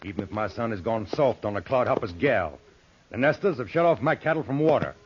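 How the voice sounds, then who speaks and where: An actor playing a feisty, stubborn older cattle rancher in an old-time radio western. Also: male voice, medium pitch, expressive, brisk, slightly rough. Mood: gruffly stubborn. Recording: noisy, muffled old radio recording.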